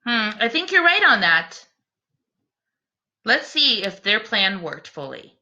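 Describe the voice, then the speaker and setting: Slow, lively woman reading to children. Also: mid-range voice, muffled recording. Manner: encouraging, child-directed. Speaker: teacher reading a picture book aloud. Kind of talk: expressive children's read-aloud with questions.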